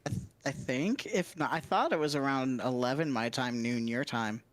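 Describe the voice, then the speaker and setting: A woman's voice: very deep voice, normal speed, dry recording. Easygoing, giggly woman co-streamer. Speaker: co-streamer, guest voice actor. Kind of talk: casual livestream chat.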